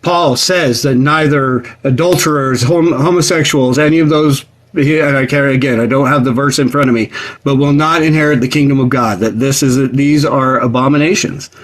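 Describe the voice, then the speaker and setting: A man's voice: mid-range voice, fairly even intonation, moderate pace, poor audio. A man taking part in an informal debate, making terse, dogged objections. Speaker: debate participant.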